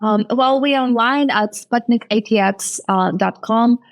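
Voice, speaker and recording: A woman's voice: on the high side, unhurried, dry recording. A woman from the former Soviet Union, a venture capitalist guest, speaking thoughtfully with many fillers and restarts. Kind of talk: casual interview talk.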